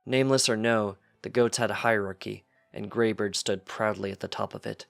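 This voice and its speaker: A man's voice: lowish voice, steady tone, moderate pace, creaky, a bit bright, no room sound, clean studio sound, soft background music. A man reading a piece of fiction aloud as scripted narration.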